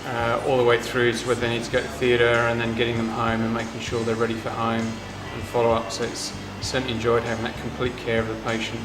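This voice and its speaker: A man's voice: mid-range voice, monotone, quick pace, hardly any pauses, far from the mic. A man with a British accent, a volunteer doctor talking in an interview. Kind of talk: interview talk. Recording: roomy, off-mic, music underneath.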